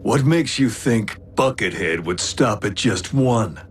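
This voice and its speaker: A man voicing a cocky, battle-hardened alien robot warrior in an animated action series, wry, swaggering and laconic, with a brash wisecracking streak. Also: fairly low pitch, takes it slowly. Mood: dry, bold. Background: music.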